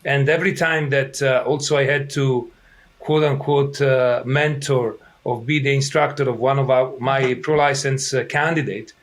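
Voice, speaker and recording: Italian-accented man, halting interview answers. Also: mid-range voice, fairly even intonation. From Italy. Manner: halting, many fillers and restarts. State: throat irritated. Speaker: soccer head coach.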